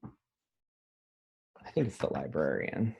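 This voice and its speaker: A man reading a children's picture book aloud as a teacher, pausing to think aloud and explain things simply. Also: a bass voice, very animated, vocal fry, a little mellow, husky, lo-fi sound. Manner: pauses to pose questions and think aloud.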